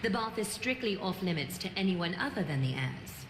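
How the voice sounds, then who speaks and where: A woman voicing a composed, gracious leader in a game, speaking in a measured, formal and gently authoritative way. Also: on the low side, big pitch swings, background noise. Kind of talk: scripted game dialogue. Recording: clear music behind. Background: music.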